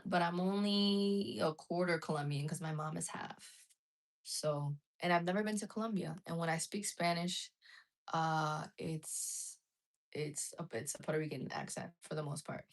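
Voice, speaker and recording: Woman streamer, frank and casual, slightly low voice. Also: gravelly. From United States. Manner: chatty, frank, answers chat messages as she goes. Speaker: live streamer. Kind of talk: casual live chat with viewers.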